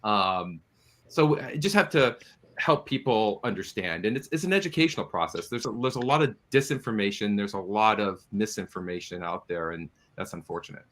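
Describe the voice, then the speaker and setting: A man giving earnest interview answers as a guest producer, explaining his points in a measured, halting way. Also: lowish voice, expressive, fairly bright tone.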